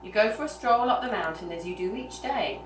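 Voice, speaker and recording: Woman, live storytelling reading, mid-pitched voice, echoey room, noisy distant recording. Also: lively intonation, fairly quick. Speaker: reader. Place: room at a small gathering with a laughing audience.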